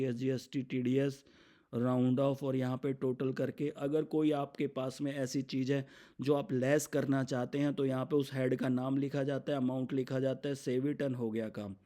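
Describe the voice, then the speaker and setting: An Indian man explaining software in an unscripted walkthrough, mixing English terms into his talk. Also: mid-range voice. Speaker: software demonstrator for his company. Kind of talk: unscripted product demo walkthrough.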